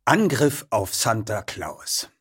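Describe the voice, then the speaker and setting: A man presenting and reading a story aloud in a lively narrating style. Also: fairly low pitch, slow pace, husky. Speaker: audiobook reader and presenter.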